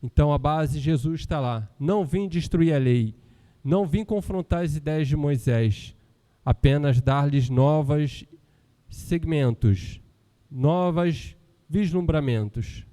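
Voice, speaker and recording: A man gives a spiritist lecture in a conversational way, tagging his points with rhetorical questions. His voice sits a little high but sounds mellow. A long reverb trails his words around the hall. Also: takes it slowly. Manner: conversational, asks rhetorical questions with tag "não é?".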